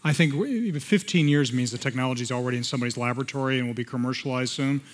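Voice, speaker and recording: A man panelist talks very fast with a wry edge on a conference stage. His voice sits at a middle pitch, caught by a headset microphone. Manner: quick, wry. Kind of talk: panel discussion answer. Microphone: headset mic.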